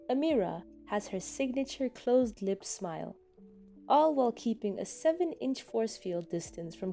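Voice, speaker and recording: A woman reading scripted fan fiction as a dramatic narrator, with expressive, deliberate phrasing. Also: medium pitch.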